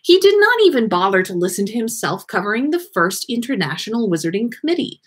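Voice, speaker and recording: Animated, slightly husky woman reading a story. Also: a little high. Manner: animated, theatrical emphasis with occasional stumbles. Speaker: podcast host reading listener fan fiction aloud. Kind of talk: expressive story reading on a livestream.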